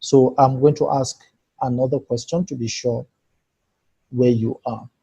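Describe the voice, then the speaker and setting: Husky-voiced man leading an online tutorial. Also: medium pitch, steady tone, a little slow, warm tone. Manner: patient, asks participants for feedback by name. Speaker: online workshop trainer. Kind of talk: live screen-share tutorial. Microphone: computer mic on a video call.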